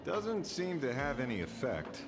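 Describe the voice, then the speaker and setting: A man playing a wry, skeptical reasoner in a video game, deliberate and dryly theatrical, with music behind him. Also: medium pitch, wildly swooping pitch. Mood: doubtful. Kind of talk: scripted video game voice acting. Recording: loud music under the voice.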